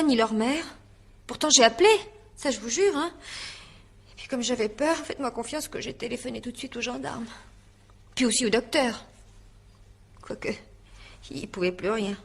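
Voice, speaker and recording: Husky, high-pitched, flustered young housemaid. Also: female voice, normal speed, pauses often. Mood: agitated, earnest. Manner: stammering, rambling, emphatic, colloquial. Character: flustered, naive, chatty eighteen-year-old housemaid giving testimony. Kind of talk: French radio mystery drama acting.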